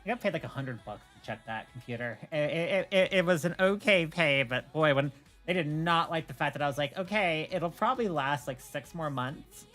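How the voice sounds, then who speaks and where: A woman's voice: sits low, normal speed, soft background music. A woman chatting casually on a livestream, telling stories in a blunt, opinionated way. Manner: opinionated, blunt, animated storytelling with swearing. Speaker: streamer, host.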